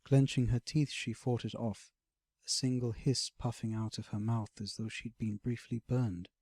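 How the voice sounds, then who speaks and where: A man narrating a scripted fantasy audiobook as a dramatic, expressive storyteller. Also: medium pitch, moderate pace, no echo.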